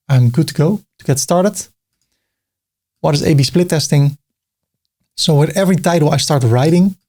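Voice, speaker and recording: A man's voice: mid-range voice, vocal fry, a little mellow. Dutch-accented man talking through a tutorial. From Netherlands. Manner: chatty, with fillers and restarts, reads out as he types. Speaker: tutorial host. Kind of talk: screen-recorded tutorial.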